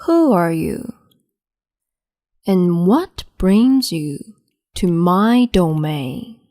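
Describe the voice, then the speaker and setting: A woman voicing a cruel, capricious empress in an ASMR roleplay, speaking slowly and mockingly and slipping into whispers. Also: lowish voice, expressive, dark tone, no room sound, studio quality. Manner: slow, mocking, condescending, drifts into whispers.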